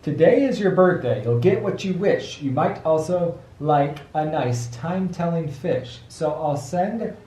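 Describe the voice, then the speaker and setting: A man's voice: on the high side, lively intonation, unhurried, few pauses, dark tone. A man reading a children's rhyming book aloud as a father would to his child, sing-song with patient asides, picked up by a camera microphone a little way off. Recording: roomy echo, distant camera microphone.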